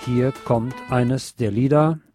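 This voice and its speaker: A man's voice: mid-range voice, takes it slowly, dark tone. A man hosting a radio music show in a conversational, wry and playfully wordy way. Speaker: radio host presenting new music.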